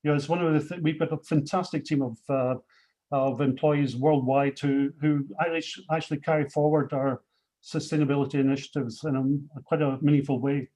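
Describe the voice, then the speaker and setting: A man's voice: a little high, fairly even intonation, a little fast, mellow, low-quality recording. Scottish man, hesitant webinar speaker. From Scotland. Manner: hesitant, many fillers and restarts. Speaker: CEO presenting industry awards. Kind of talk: webinar remarks, unscripted.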